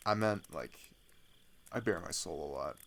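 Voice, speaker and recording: A man playing an open-hearted, weary teenage climber on the run in an ASMR roleplay, speaking in a halting, confiding way with a low, gravelly voice. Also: animated, fairly bright tone, slight background noise, heavily acted. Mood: candid, sheepish. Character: open-hearted teenage male climber fleeing across a border, weary but caring. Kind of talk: ASMR roleplay audio drama.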